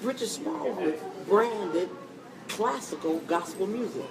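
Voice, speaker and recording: A woman hosting an interview in a coffee shop, animated and preachy, encouraging her guest. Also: mid-range voice, slow, creaky. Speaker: host. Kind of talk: interview chat. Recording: distant mic in busy cafe. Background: cafe crowd chatter.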